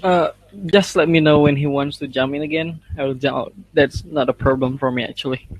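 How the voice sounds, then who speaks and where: Higher-voiced Indonesian man, modest and polite. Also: steady tone, a little fast, dry recording, poor audio. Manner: polite, modest, searching for words. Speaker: guest participant. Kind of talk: casual chat in learner's English.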